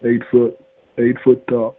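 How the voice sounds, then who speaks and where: A man's voice: mid-range voice, monotone, a little slow. A man on a phone call, blunt and pushy, laughing off questions and repeating his demands. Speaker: phone scammer. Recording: tinny phone line with faint hiss.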